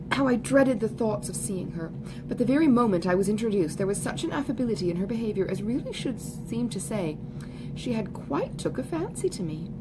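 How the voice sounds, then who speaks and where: A woman's voice: high voice, background noise, pitched above the performer's own voice. A woman reading an audiobook, voicing a gushing, self-satisfied young woman with falsely sweet, emphatic chatter. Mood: gushing, triumphant. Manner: effusive, emphatic, ungrammatical genteel chatter. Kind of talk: audiobook character dialogue.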